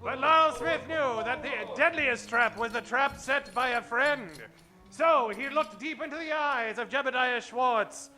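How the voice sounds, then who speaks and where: A piercingly bright, high-pitched serial narrator played by a man with grandiose, suspenseful emphasis. It sounds like vintage comic radio drama, with faint music underneath. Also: a little slow, heavily acted. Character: melodramatic male narrator of a pulp adventure serial. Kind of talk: old-time radio serial narration, comedic.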